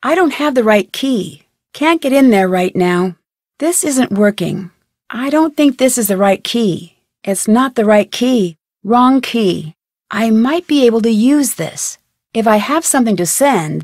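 An actress playing a plucky, curious young detective who perkily talks to herself in a video game. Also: female voice, fairly high pitch, a little mellow, no echo, clean studio sound. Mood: matter-of-fact. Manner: perky, crisp, self-talking. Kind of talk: video game character lines.

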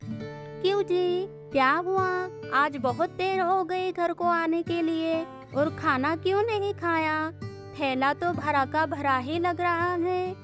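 A woman voicing a farmer's caring, inquisitive wife in an animated moral story. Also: very high voice, takes it slowly. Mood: concerned. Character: concerned, questioning village farmer's wife. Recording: background music under the voice.

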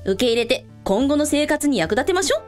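A woman's voice: sits high, animated, brisk, soft background music. A woman playing a kindly, breezy and matter-of-fact female hotel owner in a radio drama. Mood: breezy, reassuring.

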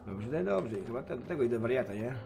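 A man's voice: medium pitch, animated, fast, gravelly. A man doing casual livestream commentary on a game, swearing coarsely and talking back at it and his viewers. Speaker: game streamer.

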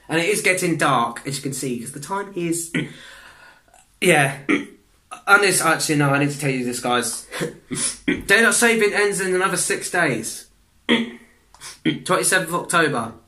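A young man, chatting in a rambling way for a reaction vlog in his bedroom, restarting sentences, clearing his throat and swearing often. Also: on the high side, expressive, measured pace, pauses often, bright, forceful tone. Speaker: vlogger reacting to videos. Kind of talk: casual reaction vlog chat. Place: small bedroom indoors.

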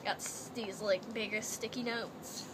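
A woman's voice: medium pitch, fairly even intonation, unhurried, a bit bright. A young woman from Canada, chatting and rambling through a haul video in a playful put-on accent, recorded on a laptop webcam in her bedroom. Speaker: vlogger. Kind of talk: casual haul video chat. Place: small cluttered bedroom, indoors. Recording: noisy laptop webcam audio.

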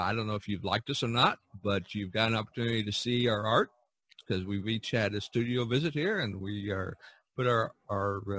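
A man talking casually as a podcast host, halting and repeating himself, often dropping in "you know". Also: fairly low pitch, fairly bright tone, dry recording.

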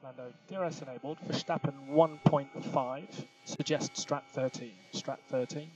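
A man's voice: medium pitch, fairly even intonation, normal speed, a little mellow. Male race engineer on noisy team radio. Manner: clipped, procedural callouts. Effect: team radio filter. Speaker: Formula One race engineer. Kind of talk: team radio instructions to a driver. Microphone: radio headset. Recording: noisy motorsport radio link.

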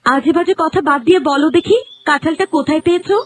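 Sharp-tongued village wife played by a woman for a cartoon: very high voice, nagging, faint music. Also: fast talker, close to an everyday voice. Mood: suspicious. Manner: scolding, questioning.

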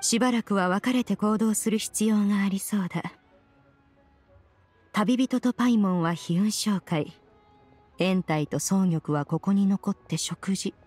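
A woman's voice: medium pitch, a little slow, darkish tone, husky, faint music behind. A woman voicing a proud, composed female mystic in a Japanese fantasy game, speaking with archaic, authoritative wording. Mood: calm, decisive. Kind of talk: Japanese anime game voice acting.

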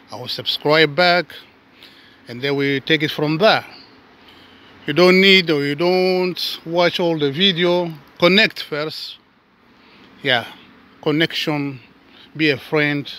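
A man, not a native English speaker, talking casually to his viewers while walking outdoors and filming with his phone, often calling them guys and dropping to soft asides. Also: a little high. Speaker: vlogger. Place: outdoors on a suburban footpath.

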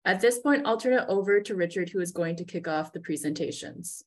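A woman's voice: on the low side, brisk. A woman co-hosting a webinar over a video call, steadily reading sponsor thanks and panelist introductions. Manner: steady scripted read. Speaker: webinar co-host.

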